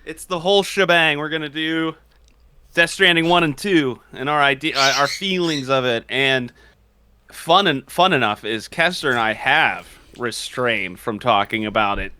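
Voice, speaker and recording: A man co-hosting a casual gaming podcast, excitable and animated and quick to laugh, speaking into a studio mic. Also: medium pitch, slight background noise. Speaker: podcast co-host.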